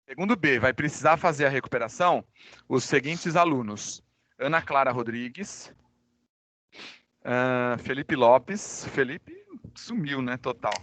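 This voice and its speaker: Brazilian male teacher, forceful bright tone, dry close sound, slightly under the weather with a cold, informal and conversational with his online class. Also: medium pitch, lively intonation, a little slow, no room sound. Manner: informal, conversational, addresses students directly. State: recovering from a cold. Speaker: high school physics teacher. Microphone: microphone.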